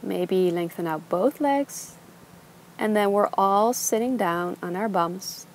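A young woman teaching a gentle yoga class, giving slow, soothing instructions. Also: medium pitch, a little hiss or hum. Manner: calm, slow, soothing cues. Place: outdoors on a beach.

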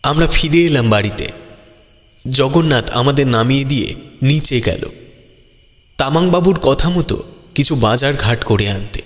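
A man's voice: mid-range voice, animated, measured pace, pauses often, natural voice. A man narrating a scripted detective audio story in the role of the detective's companion. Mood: measured storytelling. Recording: long hall-like reverb. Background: music.

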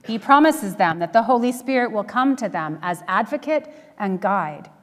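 A middle-aged woman preaching a conversational sermon with dry humour and deliberate pauses, from a church pulpit. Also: on the high side. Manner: wry, dryly humorous, with deliberate pauses. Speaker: minister preaching. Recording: echoey church hall, long reverb.

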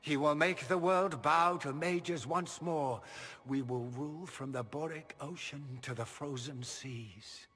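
A grandiose villain magister. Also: male voice, fairly high pitch, unhurried, fairly bright tone. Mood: menacing. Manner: smooth, menacing, grandiose. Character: scheming middle-aged male magister villain. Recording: game audio with hall reverb and music.

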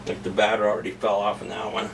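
A man's voice: on the low side, level tone, quick pace, creaky. Middle-aged male food vlogger, offhand and chatty, heard from across a noisy kitchen on a camera mic. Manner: chatty, offhand, rambling with restarts. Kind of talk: casual cooking and tasting vlog. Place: home kitchen, indoors. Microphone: camera mic set back in the room. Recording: distant camera mic with background noise.

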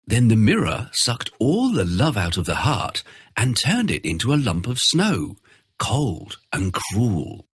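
Husky, very animated male storyteller. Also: lowish voice, dry recording, studio quality. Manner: expressive, theatrical storytelling. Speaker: narrator. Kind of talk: dramatic scripted fairy tale narration for children.

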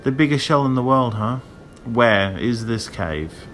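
A man's voice: medium pitch, expressive, measured pace, a bit bright, slight background noise. A man voicing a greedy, headstrong young girl in a storybook, given to whining, muttering and scared protests. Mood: greedy, demanding.

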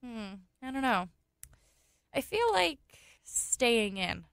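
A woman's voice: a little high, very animated, takes it slowly, vocal fry, a bit bright, clean tone, no room sound, clean studio sound, heavily acted. An actress playing a playful young girlfriend in a radio play, with teasing, affectionate, conversational delivery. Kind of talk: radio play acting, intimate dialogue.

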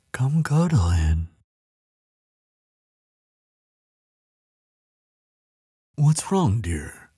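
A man playing a gentle, affectionate giant in an intimate ASMR audio roleplay, soothing and earnest, murmuring tenderly with soft hums and sighs. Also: lowish voice, husky, no room sound, clean studio sound, full character voice. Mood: tender, coaxing.

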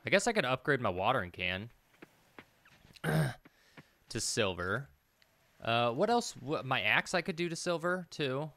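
Man thinking aloud, slow and bright. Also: mid-range voice, expressive, vocal fry. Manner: muttering to himself, hesitant, repetitive. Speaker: game streamer. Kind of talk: casual gameplay commentary, thinking aloud. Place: indoor room, streaming at a computer.

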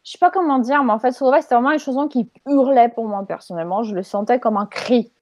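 A young woman guest speaking on a video call through wired earphones, animated and searching for words; her first language is Ukrainian. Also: a little high, fast talker, dry recording, clean studio sound. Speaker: guest composer. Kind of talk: casual video call discussion. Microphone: earphones with cable mic. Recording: dull lo-fi call audio.

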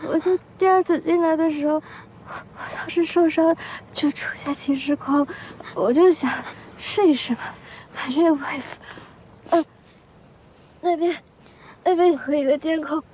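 A woman's voice: high-pitched, normal speed, darkish tone, a little hiss or hum, close to an everyday voice. An actress playing an injured young woman in a TV romance drama, with a coaxing, hesitant manner. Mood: sheepish, pleading. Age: young adult.

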